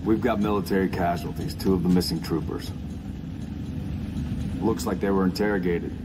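An actor playing a stern, steady squad commander, giving terse, authoritative orders in a military game. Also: male voice, sits low, normal speed, music in the background, lightly acted. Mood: businesslike. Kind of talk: clipped tactical game dialogue. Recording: game audio with loud vehicle ambience.

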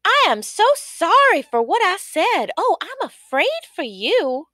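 A woman voicing a flustered peasant mother who fibs to a king, with shrill, fretful exclamations. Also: animated, unhurried, no room sound, studio quality. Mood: alarmed.

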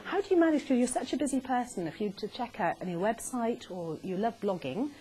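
A woman's voice: fairly high pitch, lively intonation, a little fast, crowd noise. A middle-aged woman hosting an informal live stage interview in a chatty way. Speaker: interviewer, host. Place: small arts centre stage, indoors. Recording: faintly noisy live stage recording.

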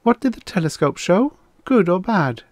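A man voicing an excitable, anxious young woman aboard a spaceship in an audiobook. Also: sits high, normal speed, no echo, pitched above the performer's own voice. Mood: anxious questioning. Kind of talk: audiobook character voice.

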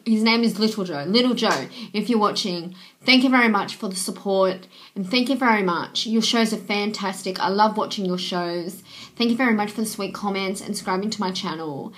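A young woman speaks to her webcam from a small bedroom, her voice roughened by a cold. She talks earnestly and a little rambling, filling gaps with "um" and thanking viewers over and over. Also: mid-range voice, a little fast, a bit bright. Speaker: vlogger. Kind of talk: casual thank-you messages to viewers. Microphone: webcam at desk.

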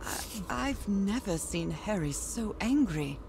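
An actress playing a thoughtful, devoted woman explorer of the 1930s, musing to herself in a measured way in a video game. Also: medium pitch, animated, a little mellow, poor audio. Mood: puzzled. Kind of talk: scripted game narration to herself. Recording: clear background noise from game ambience.

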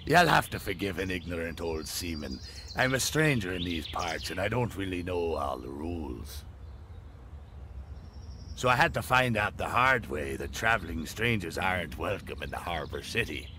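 An actor playing a shady, flattering old seaman in a video game, with a sly, ingratiating manner. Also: deep voice, moderate pace, a little hiss or hum, full character voice. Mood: wheedling. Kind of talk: video game dialogue acting.

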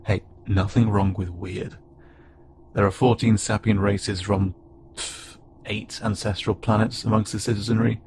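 Man playing a hesitant, low-voiced alien loner pilot. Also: little pitch movement, lightly acted. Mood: curious, softening. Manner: hesitant, halting, stammering and self-doubting. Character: awkward, gentle insect-like alien pilot, a self-described loner. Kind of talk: intimate audio roleplay addressed to the listener. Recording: clearly noisy, with ambient sound bed.

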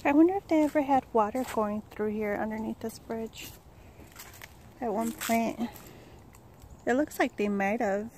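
A woman's voice: on the high side, measured pace, long pauses, warm tone. A woman vlogging as she walks her dog along a forest trail, chatting while she holds the camera. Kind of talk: casual chat while walking. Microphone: handheld camera mic. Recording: outdoor wind and forest noise.

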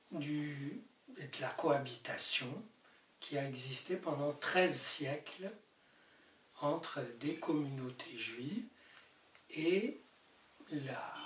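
An older man from Morocco speaks slowly and deliberately, his voice carrying the room's reverb. He sits back from the camera in a book-lined study, and light hiss runs under the audio. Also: on the high side, distant mic. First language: Arabic. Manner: animated, gesturing, rising to emphatic peaks. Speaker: author. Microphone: camera mic from a distance.

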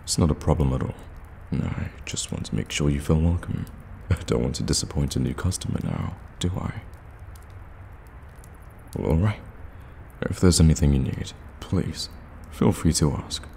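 A man playing a sly, courteous warlock shopkeeper in an ASMR fantasy roleplay, addressing the listener with teasing, soft-spoken flattery. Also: extremely low pitch, normal speed, gravelly, full character voice. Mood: suave, welcoming. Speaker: voice actor in an audio roleplay. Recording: cavernous reverb, ambient background noise.

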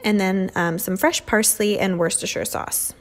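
Young woman, mid-pitched, relaxed chatty vlogger narrating her home cooking. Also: normal speed. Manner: chatty, many "um" and "like" fillers. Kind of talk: casual cooking voice-over. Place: home kitchen.

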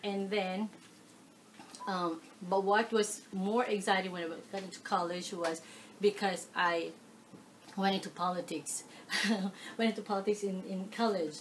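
A middle-aged woman from the Philippines with a Filipino accent, answering oral history questions in a living room, animated, with many fillers. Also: mid-range voice, a little slow, a little hiss or hum, rough recording. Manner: animated, gestures while talking, frequent fillers. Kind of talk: casual oral history interview. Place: living room, seated on a leather sofa.

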